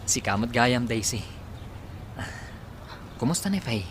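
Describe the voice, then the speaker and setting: A man's voice: mid-range voice, expressive, a little slow, a bit bright. A man acts out a young sweetheart in a radio drama, with a mid-range voice. He sounds earnest, aggrieved and plaintive. There is faint noise in the background. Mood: guarded, aggrieved. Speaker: actor in audio drama. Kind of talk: Ilocano radio comedy-drama acting.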